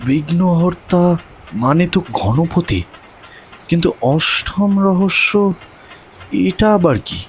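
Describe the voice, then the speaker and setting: Man playing a slow-spoken, intense young seeker. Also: high-pitched, animated, a little hiss or hum, voice pushed up, full character voice. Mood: puzzled, resolute. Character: determined, curious young male seeker of mysteries.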